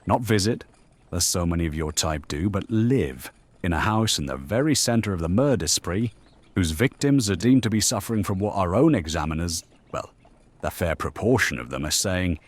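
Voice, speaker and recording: A very deep-voiced Victorian police inspector in an audio drama. He is stern and formal, with measured, pointed sarcasm and a short temper. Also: expressive. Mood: measured menace.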